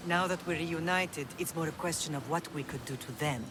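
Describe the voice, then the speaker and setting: A woman playing a dignified older Greek mother who rules an island, grave and measured, in a video game drama. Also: low voice, background noise, lightly acted.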